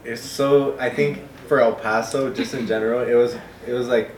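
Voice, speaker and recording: A woman with a strikingly low voice hosts a casual interview, asking questions and dropping in quick, encouraging remarks. The room around her rings with echo. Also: fairly even intonation, darkish tone.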